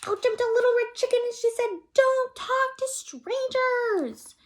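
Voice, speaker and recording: A woman voicing an eager, excitable little red chicken child in a picture-book read-aloud. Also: very high voice, unhurried, voice pushed up. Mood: urgent, excited. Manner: pleading, apologetic to Papa, bursting out excitedly.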